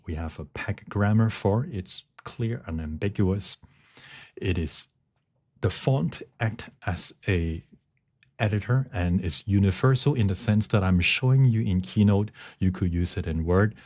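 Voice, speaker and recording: A man giving a technical presentation, speaking haltingly as he searches for words. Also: deep voice, darkish tone. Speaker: conference presenter. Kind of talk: technical talk with live demonstration.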